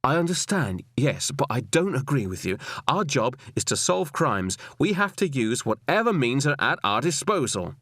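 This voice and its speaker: Man acting as stubborn male detective, defiant, argumentative, audiobook dialogue, lively swings in pitch, dry studio sound. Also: normal speed, few pauses, no echo. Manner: insistent, argumentative. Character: defiant, stubborn male police detective arguing his case.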